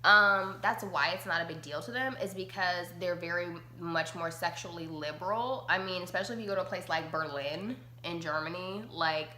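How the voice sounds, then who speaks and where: Give me a bright young woman host chatting casually, roomy echo. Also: fairly low pitch, normal speed, few pauses, a little hiss or hum. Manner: chatty, laughing, says like constantly. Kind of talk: casual chat on a live-streamed talk show.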